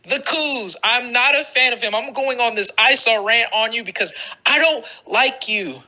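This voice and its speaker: A man's voice: very high voice, normal speed, bright, forceful tone. A man co-hosting a fantasy basketball podcast in casual banter, rambling and emphatic, often repeating himself for effect. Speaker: podcast co-host.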